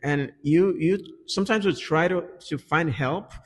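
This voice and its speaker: A man's voice: fairly high pitch, a little slow, a little hiss or hum. Echoey man with a Dominican Spanish accent. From Dominican Republic. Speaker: English teacher being interviewed. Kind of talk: conversational interview answers. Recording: echoey reverberant room.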